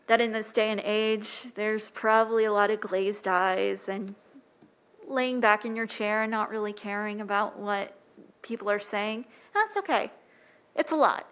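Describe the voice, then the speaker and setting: Soft-spoken woman at a podium. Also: mid-range voice, smooth. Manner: earnest, impassioned. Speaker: public commenter. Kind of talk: public comment at council meeting. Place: city council chamber podium.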